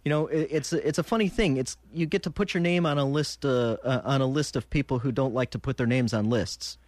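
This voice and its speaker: A man hosting a call-in radio show from a studio, talking in a halting way with many restarts. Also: medium pitch, a little mellow, no echo. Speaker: radio talk show host.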